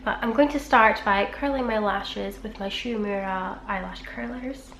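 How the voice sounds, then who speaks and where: A woman's voice: mid-range voice, normal speed, fairly bright tone. Congested woman, chatty vlog. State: congested, nasal from a cold. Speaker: beauty vlogger. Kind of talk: chatty get-ready-with-me talk. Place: home room beside a busy street. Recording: echoey room with traffic noise. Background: traffic noise.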